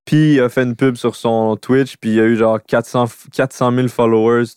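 Un jeune homme à l'accent québécois, en conversation décontractée, au parler familier truffé de mots anglais. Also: male voice, mid-range voice, moderate pace, clear, resonant tone, no echo, studio quality. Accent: Québécois French. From Quebec. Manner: relaxed, slangy, mixes in English words. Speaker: YouTuber, podcast co-host. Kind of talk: casual chat.

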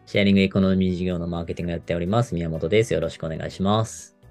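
A man around forty with a very low, creaky voice. He talks quickly, explaining things casually as a radio co-host. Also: fairly even intonation, darkish tone. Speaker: radio show co-host, marketer. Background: background music.

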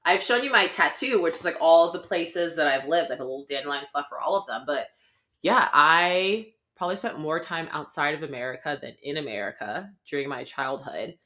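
A woman's voice: low-pitched, animated, fairly quick, sharp tone. A young adult woman co-hosting a podcast, chatting casually and rambling with lots of "like" fillers. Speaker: podcast co-host.

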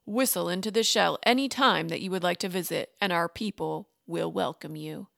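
A woman's voice: lowish voice, normal speed, creaky, a bit bright, dry recording, clean studio sound. A woman voicing a friendly merman boy in a storybook with an easygoing manner.

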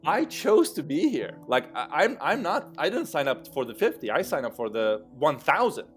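A man in his thirties from Turkey, telling personal stories as an interview guest and acting out remembered dialogue in an animated manner. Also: fairly high pitch, moderate pace, faint music behind. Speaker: interview guest, startup co-founder. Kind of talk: conversational personal storytelling.

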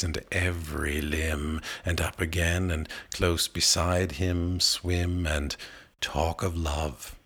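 A man reading narrative verse aloud as an expressive literary recitation, with measured, dramatic phrasing. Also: low-pitched. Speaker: poetry reader.

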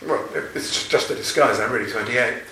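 A man's voice: mid-range voice, brisk, pushed and bright, far from the mic. An older man, a novelist, answering interview questions in a chatty, anecdotal and self-deprecating way. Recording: roomy, from off-mic.

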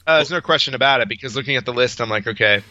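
Very sharp, forceful man, slightly muffled recording. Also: medium pitch, rapid-fire, dry recording. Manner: emphatic, opinionated, repeats himself. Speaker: podcast co-host. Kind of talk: casual unscripted podcast banter.

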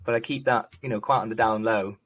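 A man's voice: on the low side, fairly even intonation, fast talker, fairly bright tone, breathy edge. A young man from Manchester, a GP guest on a casual podcast, sarcastic and self-mocking. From England (Manchester). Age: young adult.

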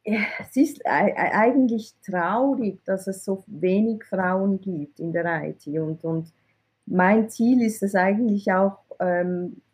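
A woman speaks in a mellow, somewhat husky voice. Her answers are candid and she restarts words as she searches for them. She tends to end her points with a questioning tag. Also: mid-range voice, takes it slowly. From Iran. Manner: candid, repeats words when searching, ends points with "oder?". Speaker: IT recruitment consultant. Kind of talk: interview answers.

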